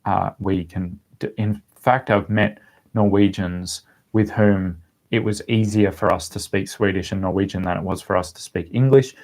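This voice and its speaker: A man's voice: low-pitched, fairly even intonation, moderate pace, a little mellow. A man with an Australian accent chatting casually as he answers viewers' questions on a livestream. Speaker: language-learning vlogger answering viewer questions.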